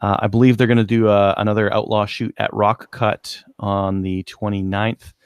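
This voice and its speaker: A man's voice: fairly low pitch, little pitch movement, normal speed, clean tone, dry recording, clean studio sound. A man with a Canadian accent co-hosting a podcast in casual chat. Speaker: podcast co-host.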